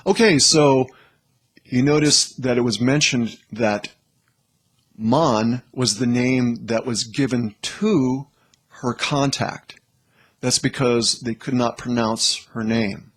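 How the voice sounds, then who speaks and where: A man hosting with informal explanatory commentary, in halting, broken phrases with frequent check-ins to the listener. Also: mid-range voice, steady tone. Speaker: host.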